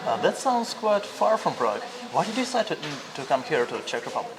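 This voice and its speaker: A man's voice: fairly high pitch, very animated, quick pace, background noise. A man interviewing a student, friendly and asking short follow-up questions.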